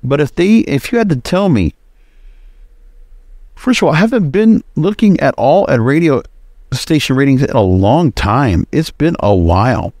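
A man's voice: medium pitch, big pitch swings, normal speed, a little mellow, dry recording. A man talks through his podcast commentary in a casual, rambling way. He is opinionated and conversational, with a mid-range voice. The sound is very dry, with no room around it. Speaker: podcast host.

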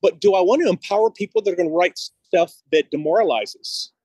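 A man's voice: high-pitched, a little fast, darkish tone, slightly rough, no echo. A man in his fifties chatting casually as a podcast host, talkative and easygoing in style, speaking into a phone on a video call. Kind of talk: casual chat. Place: walking outside and into a studio building. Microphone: phone held at arm's length on a video call.

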